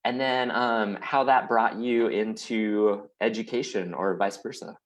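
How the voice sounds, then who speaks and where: A man's voice: on the low side, steady tone, a little slow. A man hosting a casual online interview show. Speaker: host of a weekly chat show.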